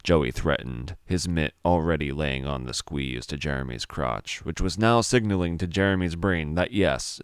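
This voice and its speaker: Extremely deep, smooth male storyteller. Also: no room sound, clean studio sound. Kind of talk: scripted dramatic story narration.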